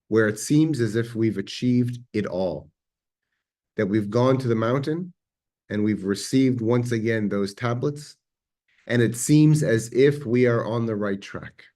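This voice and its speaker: A man with an Israeli accent giving a measured, reflective history lecture, pausing now and then with fillers. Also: medium pitch, a little mellow. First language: Hebrew.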